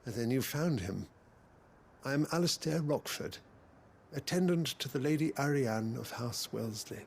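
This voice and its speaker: An actor playing a courteous, deferential male attendant to a noble house in a video game, formal and measured. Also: medium pitch, animated, creaky, breathy edge. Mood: weary, formal. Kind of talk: video game cutscene acting.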